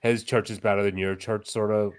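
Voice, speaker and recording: A man's voice: lowish voice, little pitch movement, a little fast, smooth. A man, co-hosting a podcast, with wry, jokey banter in casual film chat. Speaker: podcast co-host.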